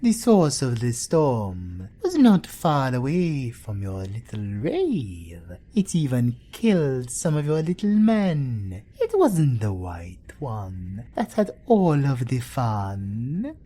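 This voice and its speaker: An actor playing a suave, teasing male vampire leader with a British accent and a breathy, theatrical, flirtatious drawl in an audio roleplay. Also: mid-range voice, wildly swooping pitch, slow, dark tone, exaggerated voice. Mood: gleeful needling.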